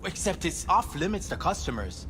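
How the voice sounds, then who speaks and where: A man's voice: high-pitched, big pitch swings, normal speed, background noise. Hesitant, lilting young-sounding male club worker. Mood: casual, gossipy. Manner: hesitant, vague. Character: flighty, casual male doll working at a club. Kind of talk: video game dialogue. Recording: reverberant room, music behind.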